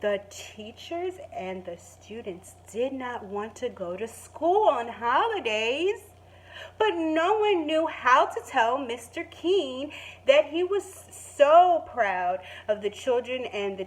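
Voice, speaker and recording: A woman teacher reading a children's story expressively, stretching words for emphasis and pausing to put questions to the listeners. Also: high-pitched, animated, low-quality recording. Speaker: primary school teacher reading to pupils. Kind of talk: expressive children's story read-aloud with asides.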